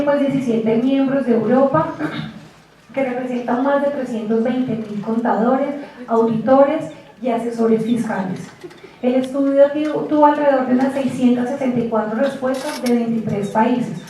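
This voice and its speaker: A woman giving a university lecture, chatty and lively, often asking the class rhetorical questions. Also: on the high side. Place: lecture room. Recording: echoey hall, distant mic.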